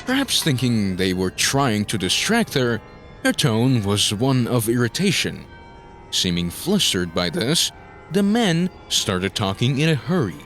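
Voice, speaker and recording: A man's voice: medium pitch, sing-song, measured pace, a bit bright, soft background music. A man narrating a scripted story in a dramatic style, as the narrator of an audio drama.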